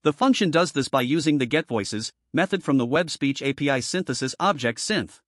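A man's voice: mid-range voice, lively intonation, brisk, fairly bright tone, dry recording, clean studio sound. A man presenting a programming tutorial in scripted step-by-step narration.

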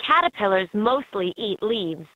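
A woman's voice: mid-range voice, lively intonation, a little slow, no room sound. A woman voicing an upbeat guide in a children's educational animal game, in scripted narration with slightly dull game audio.

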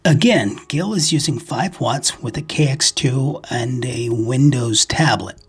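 Husky-voiced man narrating a tutorial. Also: medium pitch, measured pace, soft background music. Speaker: ham radio video host. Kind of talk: scripted instructional narration.

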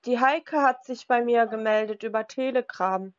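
A woman's voice: a little high, moderate pace. A woman chatting casually on the phone, hesitant and matter-of-fact. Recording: dull lo-fi phone call.

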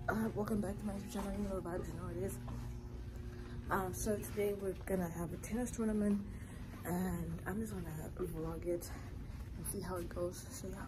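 A young woman, a college tennis player, chatting casually into a phone held at arm's length for her vlog, with a relaxed, slangy way of talking. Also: fairly low pitch, fairly quick, background noise. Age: young adult. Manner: laid-back, slangy.

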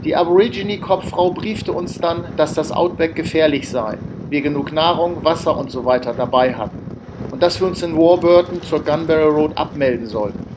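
A man's voice: fairly high pitch, moderate pace. A man narrating his outback road trip as a voice-over, matter-of-fact with wry asides. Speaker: travel vlogger narrating. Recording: faint background noise.

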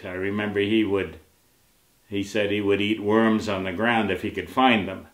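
Old guy on a sofa telling war memories, lots of uhs, low voice, echoey room. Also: fairly even intonation. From Brooklyn, New York. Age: older. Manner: halting, with many "uh" pauses. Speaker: interviewee. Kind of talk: recalling wartime memories. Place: indoor living room, on a sofa. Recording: roomy sound with clear background noise.

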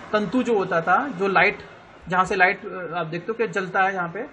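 A male teacher with an Indian accent giving an online lecture, explaining slowly, spelling out words letter by letter and often checking that students follow. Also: sits high, sharp tone, slight background noise, low-quality recording.

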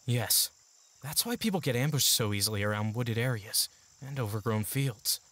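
A man's voice: medium pitch, lively intonation, normal speed, a bit bright. Man playing a curt young mercenary. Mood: focused, hushed. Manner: curt, earnest, tactical. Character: serious, gruff-mannered young male mercenary in training. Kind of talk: fantasy audio drama acting. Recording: faint music behind the voice.

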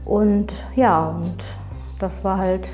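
Thirties nurse lady, hesitant, lots of ums, warm tone, soft music behind. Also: medium pitch, steady tone, slow. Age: 30s. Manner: hesitant, many fillers, sincere. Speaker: geriatric nurse. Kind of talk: interview answers to camera. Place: indoors before a plain backdrop. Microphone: lapel mic.

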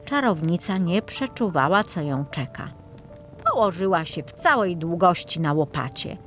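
A woman narrating a children's fairy tale as a storyteller, in a measured, dramatic reading. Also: lowish voice, expressive, a bit bright, faint music behind.

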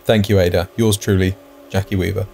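Low-voiced man co-hosting a game video. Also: flat delivery, a little fast, gravelly, warm tone. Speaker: gaming video co-host. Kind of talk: casual let's-play chat, reading game text aloud.

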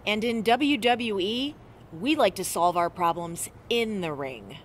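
A woman's voice: medium pitch, animated, unhurried, slight background noise, natural voice. A woman voicing a stern wrestling trainer. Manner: stern, no-nonsense.

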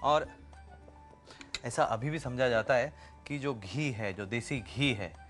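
A middle-aged man demonstrates a recipe in a TV studio kitchen, speaking on a lapel mic in a relaxed, step-by-step way. His voice sits at an ordinary pitch for a man, and faint music runs behind him. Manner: relaxed, explaining step by step with pauses. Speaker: TV chef and host. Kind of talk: cooking show demonstration with chat.

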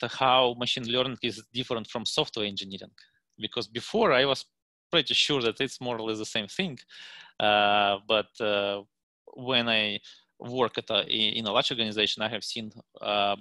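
A man in his thirties with a Russian accent, giving a meetup presentation over a headset on a video call from a room at home, halting with many fillers and restarts. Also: medium pitch, sharp tone, no echo. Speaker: guest speaker.

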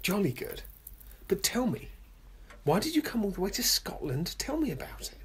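Woman playing a very husky, suave villainous professor. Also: mid-range voice, very animated, normal speed. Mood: smoothly courteous. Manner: theatrical, mock-courteous, pompous. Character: suave, gloating male professor, secretly a fanatical foreign spy. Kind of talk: homemade radio play acting.

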